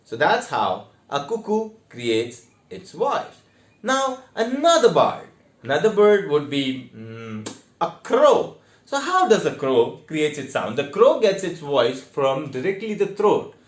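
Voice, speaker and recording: A man with an Indian accent giving a theatre lesson in a deliberate, step-by-step way with emphatic stressed words. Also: a little high, big pitch swings. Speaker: theatre teacher. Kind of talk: instructional online lesson with demonstrations. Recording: echoey, reverberant room.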